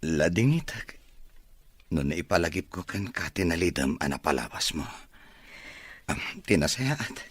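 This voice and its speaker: A man performs a caring, well-off boss and expectant father in a radio drama. His voice is very deep and he takes his time over each phrase. The sound is close and dry. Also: big pitch swings, measured pace, long pauses, no room sound, full character voice. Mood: gentle, consoling. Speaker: voice actor in an audio drama. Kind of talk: Filipino radio-drama acting in Ilocano.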